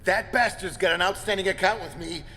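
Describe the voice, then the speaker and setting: A man's voice: high voice, brisk, sharp tone, long reverb tail. A man playing a tough, foul-mouthed video game action hero with an American accent, blunt and confrontational in manner. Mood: furious, demanding. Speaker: game voice actor. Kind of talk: video game cutscene acting.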